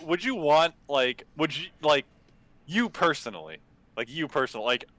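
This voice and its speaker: Animated male co-host with a bright, forceful voice and casual swearing. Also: fairly high pitch, normal speed. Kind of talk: casual stream chat.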